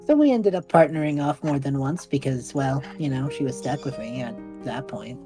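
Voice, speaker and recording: A woman voicing a young male magic student in an audio drama, earnest, awkward and self-deprecating, speaking haltingly. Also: a bass voice, expressive, over music. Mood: casual. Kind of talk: audio drama dialogue, naturalistic.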